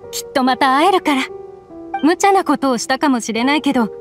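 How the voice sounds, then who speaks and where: A woman's voice: high-pitched, animated, a little fast. A soothing oni mother. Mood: comforting. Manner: soothing, reassuring. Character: gentle oni mother of a young child. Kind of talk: game cutscene voice acting. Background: game music.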